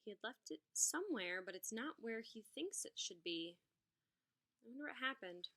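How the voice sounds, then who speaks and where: A woman reading a picture book aloud as a teacher, adding expressive explanatory asides for young children. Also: a little high, moderate pace.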